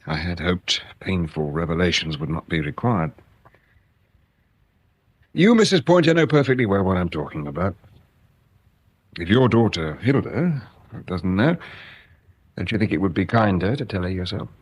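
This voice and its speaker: A low, slightly husky English male police inspector in a radio drama. He is courteous and deliberate, probing with a lively, shifting pitch. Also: no echo, heavily acted. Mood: grave, insistent. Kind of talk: British radio crime drama acting.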